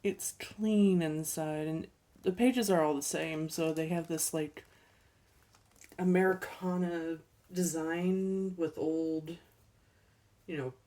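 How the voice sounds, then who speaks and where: A woman casually showing off her thrift store finds, unhurried and chatty with ums and little laughs, her voice a bit worn as she is losing it. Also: deep voice, darkish tone, distant mic. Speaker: collecting channel host. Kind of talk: casual thrift haul chat.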